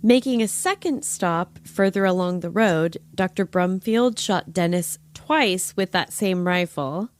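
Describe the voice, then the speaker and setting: A woman's voice: on the low side, a little slow, hardly any pauses, no echo. A woman hosting a podcast, telling a scripted story with wry, playful flourishes and casual chatty asides. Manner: wry, playful narration with old-fashioned flourishes. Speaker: true-crime podcast host.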